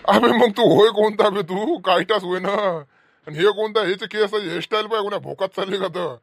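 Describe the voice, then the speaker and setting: A man playing a blunt, excitable middle-aged village farmer uncle in a comedy cartoon, speaking emphatically in an earthy Vidarbha Marathi accent. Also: high voice, moderate pace, no echo. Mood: indignant scolding. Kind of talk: homemade rural comedy cartoon dialogue.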